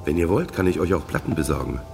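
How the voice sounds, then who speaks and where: Deep, gravelly, chummy wheeler-dealer. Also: male voice, lively intonation, fast, darkish tone, a little hiss or hum, heavily acted. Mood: casual, chummy. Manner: offhand, easygoing. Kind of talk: radio play dialogue. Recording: music under the voice.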